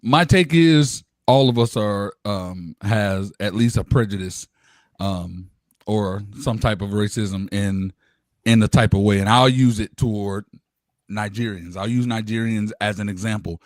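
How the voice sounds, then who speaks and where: Dry-sounding animated man in his thirties. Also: fairly low pitch, unhurried, dry recording. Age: 30s. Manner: animated, rambling with fillers and restarts. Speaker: co-host. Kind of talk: casual podcast chat.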